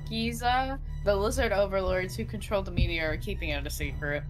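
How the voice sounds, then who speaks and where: A woman's voice: mid-range voice, brisk, over music, poor audio. A woman co-hosting a casual podcast, reading out online comments and chatting in an irreverent, slangy way. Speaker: podcast co-host.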